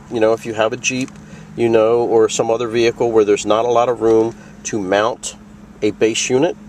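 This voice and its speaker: Lower-voiced man giving a casual tutorial. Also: a little mellow, slight background noise. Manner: plain-spoken, conversational explaining. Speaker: presenter of a product tutorial. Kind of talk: casual instructional walkthrough.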